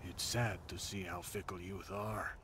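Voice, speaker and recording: Man acting low older male soldier, formal, measured, sober, game dialogue, faint noise. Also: fairly even intonation. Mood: grave, reflective. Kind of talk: video game character dialogue.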